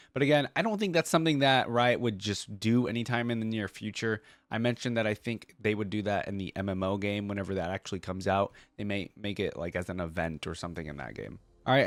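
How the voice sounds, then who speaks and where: Male reaction host, fairly low voice, very dry recording, conversational and informal, talking directly to fans. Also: no room sound. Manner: conversational, peppered with "like", "right?" and "you guys". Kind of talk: casual fan commentary to viewers.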